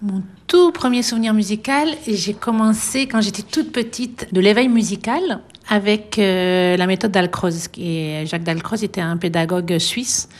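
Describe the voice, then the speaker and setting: A middle-aged woman violinist being interviewed, speaking thoughtfully with many pauses and light laughs. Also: medium pitch. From France. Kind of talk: relaxed radio interview.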